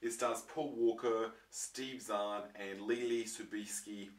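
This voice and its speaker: A young man with a croaky voice from a throat infection, chatting casually to his viewers with lots of ums and restarts. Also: fairly low pitch, fairly even intonation, slow pace. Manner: rambling, many ums and restarts. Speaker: vlogger showing his disc collection. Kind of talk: casual chat to camera. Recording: roomy, mic far off, faint noise.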